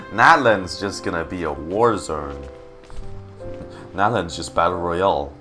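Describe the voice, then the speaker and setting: A man doing casual, expressive live game commentary, informally muttering to himself with a creaky voice. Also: low voice, takes it slowly. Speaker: streamer reacting to a game. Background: game music.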